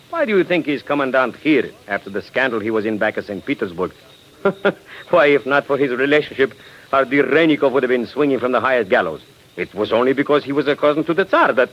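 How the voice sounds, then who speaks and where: A talkative, scornful Tsarist official from a 1940s radio play. He has a mid-range voice with very lively intonation and a condescending, exclamatory delivery. The old recording is muffled and noisy. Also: medium pitch. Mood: mocking. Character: chatty, scornful male Tsarist government official out hunting. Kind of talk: 1940s radio drama acting.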